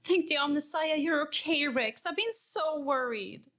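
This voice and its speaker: A woman's voice: high voice, lively intonation, measured pace, a bit bright. A woman playing an eccentric, curious tech-priest scholar, affectionate and a little nervous, in a sci-fi audio drama. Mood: nervous pleading.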